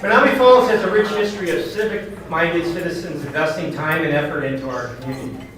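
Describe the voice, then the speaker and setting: A man's voice: fairly high pitch, fast. A man speaking as the host of a community event, giving a formal welcome speech that is partly read from notes. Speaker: event host, foundation chair. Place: large echoing indoor banquet venue. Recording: very echoey hall, distant mic, background noise.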